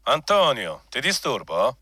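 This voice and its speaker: An actor playing a jovial, conniving personnel director and old crony, jocular and wheedling, in a radio drama. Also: male voice, medium pitch, wildly swooping pitch, unhurried, bright, forceful tone, breathy edge, dry recording, clean studio sound, exaggerated voice. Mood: jovial, insinuating.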